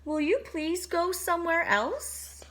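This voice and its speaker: A woman's voice: very high voice, expressive, takes it slowly, background noise, pitched above the performer's own voice, heavily acted. A woman voicing an anxious male elephant in a storybook, with fretful, exclaiming questions. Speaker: storybook character.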